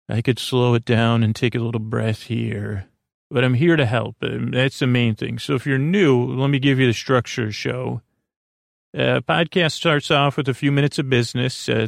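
Male podcast host, creaky and lulling, wandering and self-interrupting delivery, very dry recording over faint music. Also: mid-range voice, no room sound. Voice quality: creaky, croaky. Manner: meandering, halting, stammering, self-interrupting; soothing, lulling. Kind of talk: rambling bedtime podcast monologue.

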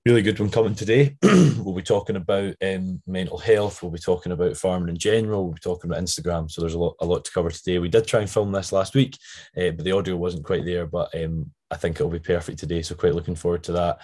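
Male podcast host, Scottish, notably low and a bit croaky, informal and prone to tangents. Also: fairly even intonation, fast, mellow. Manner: chatty, rambling with frequent tangents. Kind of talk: casual podcast introduction.

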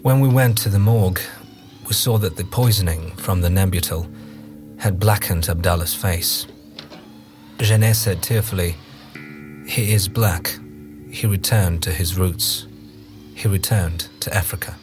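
A man playing a solemn witness in an audio drama, recounting events in a grave, deliberate, literary way. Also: sits low. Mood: somber. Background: music.

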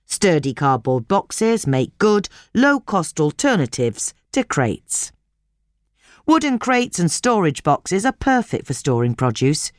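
A woman reading a scripted instructional article aloud as narrator, in measured, clearly separated phrases. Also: low voice, dry recording, clean studio sound.

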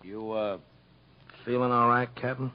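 An actor playing a stern, excitable prison guard in a 1950s radio drama. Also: male voice, medium pitch, measured pace, natural voice. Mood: tentative. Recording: muffled, noisy vintage 1950s radio recording.

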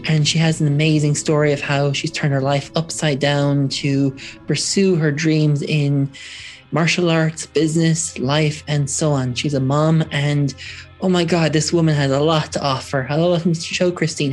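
A man hosts a podcast with a casual interviewing style. His voice sits fairly high for a man, and faint music is heard in the recording. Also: fairly even intonation, normal speed. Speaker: podcast host.

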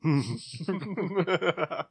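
A man's voice: a little high, lively intonation, extremely slow, vocal fry, slightly rough, no room sound, studio quality. A man hosting a casual podcast chat about old radio shows, rambling along as an enthusiastic storyteller. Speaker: podcast host.

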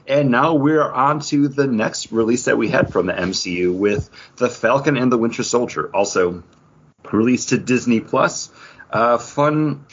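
A man's voice: mid-range voice, measured pace, lo-fi sound, a little hiss or hum. A man co-hosting a casual podcast chat, often acting out his own thoughts as he talks. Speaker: podcast co-host. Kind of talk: casual chat about comics and TV.

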